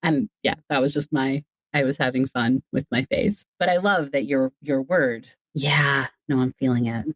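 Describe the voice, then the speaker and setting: Woman, low-pitched voice, dry close recording, casual podcast guest, self-deprecating, wordy. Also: normal speed, few pauses, dry recording. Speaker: podcast guest, audio fiction creator. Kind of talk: casual, chatty podcast conversation.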